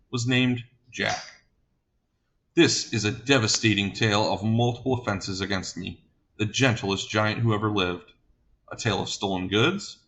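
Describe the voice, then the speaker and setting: A man playing a fussy, gentle giant giving a flustered, wordy statement to a police officer in a comic monologue. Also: fairly low pitch.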